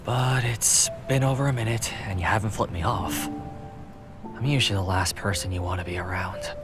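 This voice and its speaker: Guy acting as a sardonic young death god, deep voice, weary, loud music all over it, audio drama. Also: normal speed, close to an everyday voice. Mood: wry, probing.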